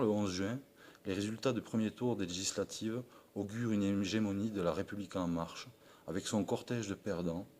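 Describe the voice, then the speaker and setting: A man with a very low voice from France delivers a formal political address. He reads in an earnest, declamatory way and now and then stumbles and corrects a word. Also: darkish tone. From France (Perpignan). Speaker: political supporter.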